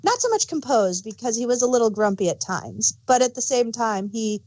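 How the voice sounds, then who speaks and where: A woman's voice: a little high, animated, a little fast, a little mellow, poor audio. A woman co-hosting a casual fan discussion, rambling and searching for words as she gives emphatic examples. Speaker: podcast co-host.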